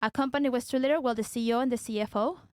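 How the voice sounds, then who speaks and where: Young woman from Venezuela, English, hesitant with restarts, higher voice, smooth clear tone, dry close sound. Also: fairly even intonation, rapid-fire, darkish tone, no room sound. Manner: hesitant, searching for words, many restarts. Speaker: guest. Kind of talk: casual debate talk.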